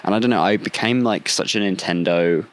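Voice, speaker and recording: A man's voice: deep voice, fairly quick, slight background noise, poor audio. A young man from the UK co-hosting a podcast, telling rambling stories in casual chat, with plenty of fillers. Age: young adult. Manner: laid-back, rambling storyteller with many fillers. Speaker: podcast co-host.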